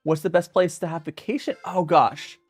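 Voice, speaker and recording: A man's voice: fairly high pitch, normal speed, breathy edge, faint music behind. A young man hosting a casual livestream Q&A, reading viewer questions aloud and answering with hesitant, stop-start phrasing full of restarts. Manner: hesitant, many restarts, reads chat aloud.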